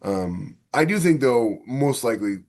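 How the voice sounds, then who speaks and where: A man's voice: mid-range voice, lively intonation, a little slow, darkish tone. A man co-hosting a casual science fiction podcast, talking with enthusiasm and acting out imagined quotes as he explains. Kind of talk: casual discussion of sci-fi technology.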